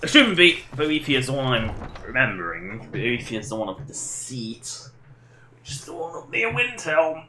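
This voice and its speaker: A man's voice: medium pitch, very animated, moderate pace, bright, forceful tone, a little hiss or hum. A man doing casual live game commentary, rambling and self-deprecating as he chats to his viewers. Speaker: game livestreamer.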